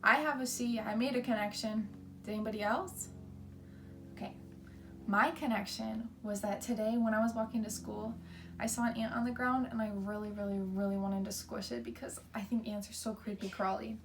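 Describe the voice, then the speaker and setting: A woman's voice: on the high side, steady tone, moderate pace, far from the mic, soft background music. A woman teacher leading an interactive read-aloud for children, explaining simply and asking questions.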